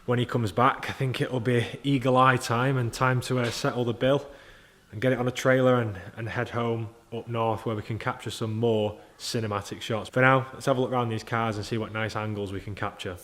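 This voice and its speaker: A man's voice: medium pitch, fairly even intonation, brisk, fairly bright tone. A man giving an informal, matey, blokey walk-around commentary as a car vlogger. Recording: clear background noise.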